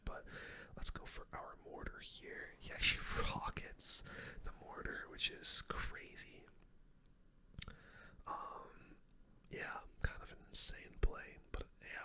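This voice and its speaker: A young adult whispers casual, slangy commentary over their own gameplay in an ASMR style. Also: sharp tone. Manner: casual slangy gamer talk, full whisper.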